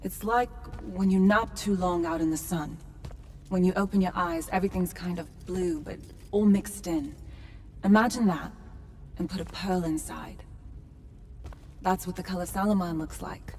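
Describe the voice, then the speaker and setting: Low-voiced wistful young woman played by an actress. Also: fairly even intonation, moderate pace, a little mellow, lightly acted. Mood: tender, sad. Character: wistful young woman, estranged childhood friend, gentle but resolute. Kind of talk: video game cutscene acting.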